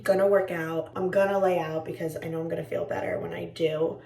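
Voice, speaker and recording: Raspy tired young woman vlogging. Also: fairly low pitch, steady tone, brisk, mellow, reverberant, recorded from a distance. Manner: chatty, rambling, talks to viewers as friends. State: tired and low-voiced. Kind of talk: casual vlog chat. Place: indoors at home.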